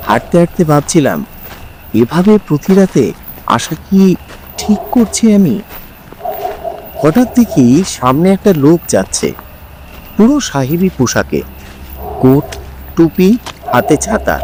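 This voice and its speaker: A man narrating a scripted first-person horror story, dramatic and suspenseful, in deliberate phrases broken by pauses. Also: fairly high pitch, background noise.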